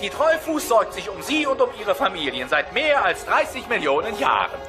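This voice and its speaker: A dinosaur ad spokesman selling with fake concern. The high voice rises and falls in a very animated, folksy, insinuating pitch, over clearly heard music. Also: moderate pace. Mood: smarmy, persuasive. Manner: folksy, falsely caring, insinuating. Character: smarmy dinosaur energy-company advertising spokesman. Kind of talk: parody TV commercial narration.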